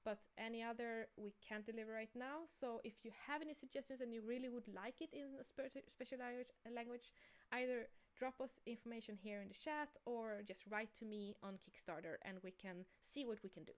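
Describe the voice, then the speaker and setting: A woman's voice: on the high side, fairly quick. A woman chatting informally and enthusiastically as she hosts a livestream about her own creative work. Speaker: game creator and artist hosting a livestream. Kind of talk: casual livestream chat.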